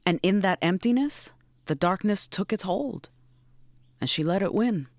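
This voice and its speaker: A woman's voice: mid-range voice, expressive, unhurried, dry recording. An actress playing a blunt, wry, brooding woman who swears and muses in naturalistic audio drama dialogue. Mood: wistful, sombre.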